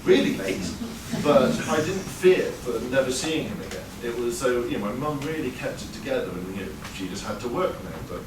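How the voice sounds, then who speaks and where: A man's voice: mid-range voice, lively intonation, brisk, few pauses, background noise. Man telling a wry story in a roomy live venue. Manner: conversational, wry, many fillers. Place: performance venue before an audience. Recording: roomy live sound, mic far off.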